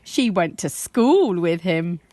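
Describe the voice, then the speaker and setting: A woman reading a children's story aloud like a teacher, expressive and measured in her storytelling. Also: fairly low pitch, dry recording.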